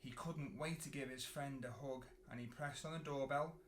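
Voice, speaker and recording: A man reading a picture book to children in an expressive storytelling style, with dramatic pauses and drawn-out words. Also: medium pitch. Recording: roomy echo, clear background noise.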